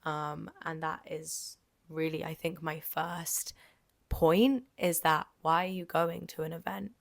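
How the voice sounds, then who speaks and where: A young woman from Jersey with a slightly croaky voice, hosting her podcast in a candid, chatty way with frequent ums and likes and casual swearing. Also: sits low, steady tone, slow, no room sound. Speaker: podcast host. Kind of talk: casual solo podcast monologue.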